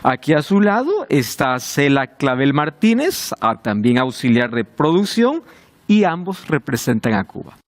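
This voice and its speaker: A man's voice: medium pitch, big pitch swings, a little slow. A man hosting a televised Bible study, welcoming and speaking directly to the viewers. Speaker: host of a Bible study show.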